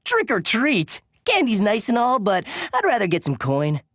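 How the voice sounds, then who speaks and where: Money-minded game girl, brisk, super swoopy mid voice. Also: mid-range voice, huge pitch swings, a bit bright. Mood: cheeky. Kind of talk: animated game character voice acting.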